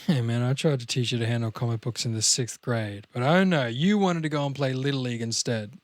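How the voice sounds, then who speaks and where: A man's voice: mid-range voice, a little fast, no echo, studio quality, close to an everyday voice. A man playing a devastated, freshly dumped young slacker with a downcast, wisecracking manner in a table read of a film comedy. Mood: glum, sardonic.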